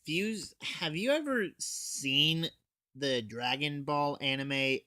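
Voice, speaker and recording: Teen guy doing a comic review, super lively pitch, casual and sweary, dry sound. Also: slow, no echo. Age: teens. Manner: informal, sweary. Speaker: anime reviewer. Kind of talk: scripted comic review, recapping a plot.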